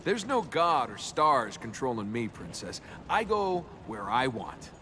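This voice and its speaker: A man's voice: mid-range voice, big pitch swings, a little slow, noisy background. An American man playing a cocky, wisecracking young adventurer prince in a video game, with flippant, sardonic banter. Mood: teasing, relaxed. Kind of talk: video game cutscene acting.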